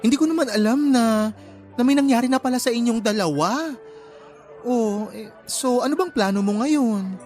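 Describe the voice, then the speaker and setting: A man acting a chatty, caring young male best friend in a radio drama, with an expressive, playful, coaxing delivery. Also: very high-pitched, normal speed, soft background music. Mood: startled, concerned.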